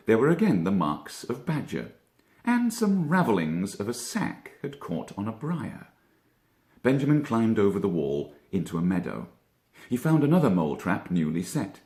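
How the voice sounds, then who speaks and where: A man reading a children's story as an actor would, with expressive narration and deliberate dramatic pauses. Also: fairly low pitch. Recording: echoey room.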